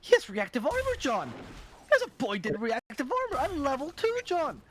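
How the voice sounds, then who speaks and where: A man co-casting a live esports match, laughing often and breaking into excited outbursts. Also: very high voice, normal speed, noisy background. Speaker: esports co-caster. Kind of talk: live game commentary.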